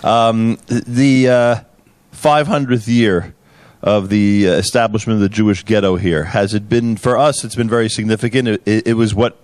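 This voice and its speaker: A male host interviews his guests in an easygoing, chatty manner. His voice sits on the low side. The recording is dry and close, with no room sound. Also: steady tone, normal speed, darkish tone, no echo. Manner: conversational, easygoing questioning.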